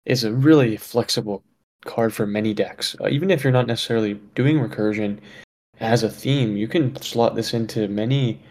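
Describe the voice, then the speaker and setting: A man co-hosting a podcast, talking casually with many fillers, often dropping quieter at the ends of his thoughts. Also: fairly low pitch, moderate pace. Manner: relaxed, lots of fillers, trails off quietly. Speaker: podcast co-host.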